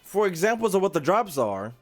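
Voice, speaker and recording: A man's voice: sits high, very animated, a little fast, soft background music. A young man chatting casually as a game streamer, informal and slangy, rambling through his commentary. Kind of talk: casual livestream chat and commentary.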